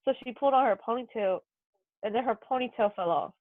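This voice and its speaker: Higher-pitched woman, dull lo-fi sound. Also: a little fast, no echo. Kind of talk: casual group chat.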